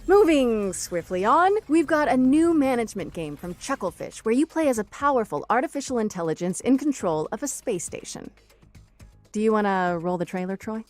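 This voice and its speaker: A woman's voice: fairly high pitch, expressive, normal speed, faint music behind. A woman hosting a showcase, presenting from a script with comic banter. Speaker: showcase host.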